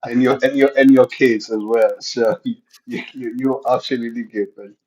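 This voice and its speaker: A man's voice: mid-range voice, steady tone, moderate pace, darkish tone, husky, dull audio. A man from South Africa, a guest on a video call, telling his story in casual conversation and often repeating words as he starts sentences.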